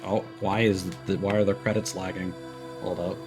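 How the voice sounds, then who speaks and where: A man's voice: deep voice, little pitch movement, moderate pace. A man giving casual live commentary as a game streamer, wry and musing aloud. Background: faint game music.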